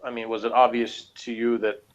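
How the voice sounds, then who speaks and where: A man chatting casually as a reviewer, talking in a rambling, halting way. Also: medium pitch, flat delivery. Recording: dull lo-fi audio.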